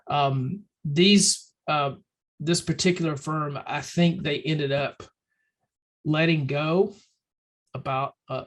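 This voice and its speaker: Casting note: man with a raised pitch for his sex, slightly hoarse, chatty and rambling webinar presenter. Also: fairly bright tone. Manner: loose and conversational, many ums and restarts. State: slightly hoarse, clearing throat. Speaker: webinar presenter for a firm advisory program. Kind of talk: casual webinar talk.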